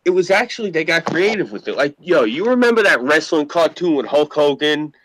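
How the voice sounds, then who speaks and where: Animated, profane man with a deep voice. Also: a little fast, no room sound, rough recording. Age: late 30s. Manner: profane, animated ranting with frequent laughter. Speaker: podcast co-host. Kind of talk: casual chat.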